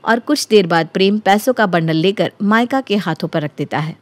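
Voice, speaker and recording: A woman's voice: mid-range voice, normal speed, dry recording. A woman narrating a scripted story as its storyteller.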